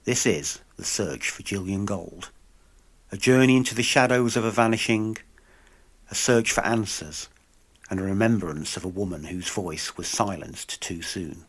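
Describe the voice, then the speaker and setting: A man's voice: fairly low pitch, moderate pace, breathy edge. A man narrating a scripted true-crime podcast as investigator, solemn and dramatic.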